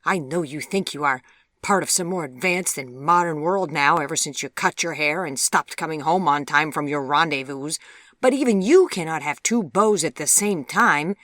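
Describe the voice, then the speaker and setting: A woman's voice: low-pitched, moderate pace, a bit bright, dry recording, studio quality. A woman voicing a stern, indignant middle-aged mother in a storybook, scolding her daughter in formal, emphatic words.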